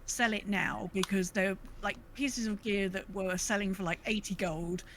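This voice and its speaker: A woman's voice: medium pitch, steady tone, moderate pace, a bit bright, a little hiss or hum. A woman co-hosting a podcast, talking casually through prepared notes. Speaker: podcast co-host.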